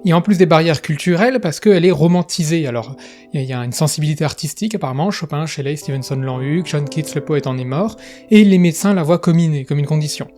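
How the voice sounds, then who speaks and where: A man's voice: a little high, fast, dark tone, soft background music. A man hosting an educational video, explaining history in a casual, chatty way with frequent asides.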